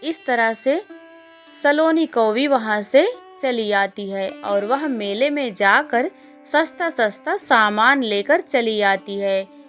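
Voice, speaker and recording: A woman tells a children's story in a fairly high voice. Her narration is scripted and slow, with music playing softly behind her. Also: lively intonation.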